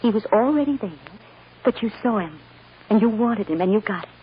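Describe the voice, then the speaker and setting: A woman's voice: medium pitch, expressive, a little fast, breathy edge. An actress playing a loving, reassuring American mother soothing her young daughter in an old-time radio drama. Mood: earnest, reassuring. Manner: tender, reassuring, emotionally earnest. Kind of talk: 1940s-50s American radio drama acting. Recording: dull, noisy old radio recording.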